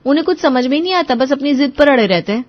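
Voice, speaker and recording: A woman's voice: high-pitched, lively intonation, quick pace, muffled recording, voice pushed up. A woman playing a clever, burdened young daughter-in-law who speaks expressively in a cartoon moral story. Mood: plaintive.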